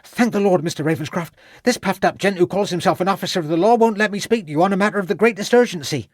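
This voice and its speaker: A man voicing a cheeky, self-important young servant boy in a storybook, high and eager, with rough ungrammatical speech. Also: quick pace, dry recording, studio quality, natural voice. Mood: indignant. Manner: colloquial, ungrammatical working-class speech, eager.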